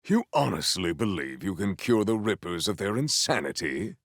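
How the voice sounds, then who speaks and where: An actor playing a formal, valiant, earnest warrior hero in a video game, with stately, theatrical diction. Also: male voice, sits low, very animated, moderate pace, dry recording, studio quality, exaggerated voice. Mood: measured, dry. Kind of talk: scripted video game character lines.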